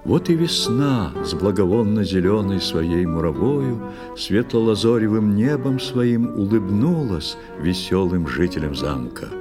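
A man narrating a poetic tale theatrically, in a measured, expressive storytelling manner. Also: lowish voice. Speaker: narrator of a children's musical audio play. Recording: long studio reverb, music underneath. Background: music.

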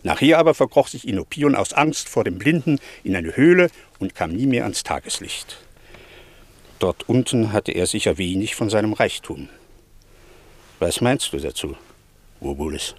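An actor playing a stern, needling Greek sea captain in a German radio play, telling a tale pointedly with rhetorical questions. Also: male voice, fairly low pitch, expressive, moderate pace. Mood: pointed storytelling.

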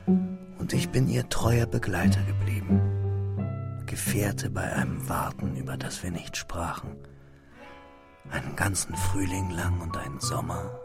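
Hushed, wistful young narrator, gravelly. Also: male voice, deep voice, loud music behind the voice. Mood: tender, wistful. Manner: measured, hushed, drops to near whispers. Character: young man recalling a lost friend, wistful, restrained. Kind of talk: literary radio drama narration.

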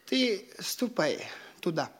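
Young dandy master: high voice, peevish and haughty, bossy yet feckless, classic radio comedy. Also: male voice, very animated, takes it slowly, a bit bright, breathy edge, exaggerated voice. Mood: commanding, hesitant. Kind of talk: classic Russian stage comedy acting for audio play.

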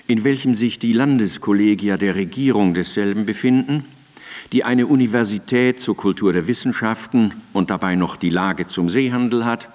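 An actor playing a learned male philosopher in a German radio drama, reciting in a deliberate, formal, lecturing manner. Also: fairly low pitch, a little mellow, long reverb tail, close to an everyday voice. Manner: deliberate, formal, lecturing delivery of long sentences. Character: learned male philosopher Immanuel Kant, measured and didactic.

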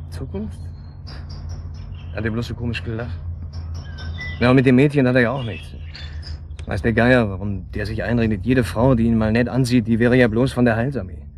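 An actor playing a guarded, shady man in a naturalistic radio drama, colloquial, matter-of-fact and quietly insinuating. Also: mid-range voice, a little hiss or hum, natural voice.

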